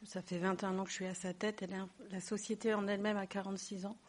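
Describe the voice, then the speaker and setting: A woman in the audience introducing herself with a flat, even delivery. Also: mid-range voice, fast. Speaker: audience member.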